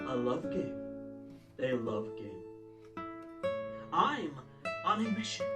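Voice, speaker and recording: A man voicing an overwrought dramatic reader of sultry pop lyrics, hammy and drawn out with long pauses. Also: high-pitched, echoey room, far from the mic, heavily acted.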